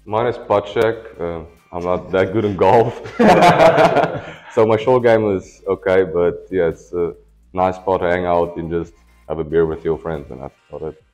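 A South African-born rugby player talking casually on a panel in short, plain sentences with a dry humor. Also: male voice, deep voice, animated, unhurried, darkish tone, faint music behind. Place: indoor room with a branded backdrop.

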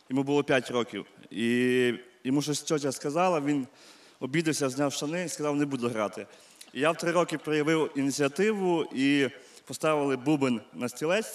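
A young man from Ukraine, a contestant telling a casual anecdote on stage into a handheld microphone. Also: mid-range voice. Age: young adult. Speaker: talent show contestant, musician. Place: TV studio stage. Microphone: handheld microphone held out to him.

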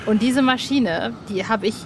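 Casting: woman in her 40s, higher-pitched, enthusiastic podcast host, casual chat, some outdoor background noise. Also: normal speed. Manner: chatty, enthusiastic. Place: outdoor roof terrace.

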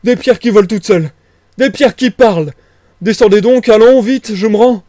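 Man performing a male tramp, audiobook character, raised pitch, grumbling, blustering, bewildered, exclamatory. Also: normal speed, mellow, no echo, clean studio sound, close to an everyday voice. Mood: flustered, giving up. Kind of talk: dramatized audiobook reading.

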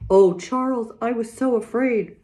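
A reader voicing a gentle, anxious pioneer mother in a children's book read aloud, speaking apologetically. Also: mid-range voice, moderate pace, lightly acted. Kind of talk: reading a children's novel aloud.

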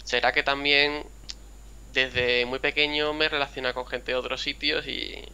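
A young man from Andalusia whose mild Andalusian accent barely shows, talking in a rambling, chatty way and acting out little quoted greetings. His voice sounds bright and forward, at a middling pitch, over a casual call. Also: level tone, normal speed, clean tone. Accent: mild Andalusian Spanish. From Spain, a town near Seville. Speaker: gaming channel host. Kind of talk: casual chat over a video game.

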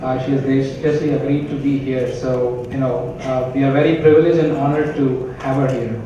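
A middle-aged man interviewing a guest on a hotel conference stage, halting, with many pauses and restarts. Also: medium pitch, fairly even intonation, background noise. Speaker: interviewer and chapter chair. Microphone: handheld mic. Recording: echoey distant hall audio, muffled.

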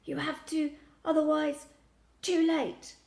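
Woman voicing a croaky, wheezing, dying old grandad. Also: high voice, roomy sound, voice pushed up. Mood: desperate, rambling. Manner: halting, urgent, broken phrases. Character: gravely sick old grandfather, desperate and gasping.

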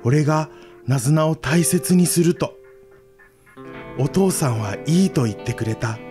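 An actor playing a gentle, devoted young Jomon-era village man in a radio drama. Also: on the high side, animated, unhurried. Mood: reminiscing. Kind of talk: radio drama acting with storytelling narration. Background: instrumental music.

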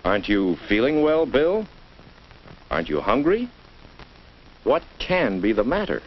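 Dull vintage film soundtrack, American man, slow pace, very varied intonation, 1950s educational narration, measured, addressing a child. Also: mid-range voice, wildly swooping pitch, slight background noise. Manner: measured, addresses the child directly with rhetorical questions.

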